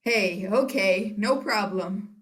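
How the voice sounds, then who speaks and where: A woman's voice: medium pitch, slow, a bit bright. Flustered Hollywood director, played by a young woman. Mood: casual. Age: teenager. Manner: theatrical, placating. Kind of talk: school one-act comedy stage acting.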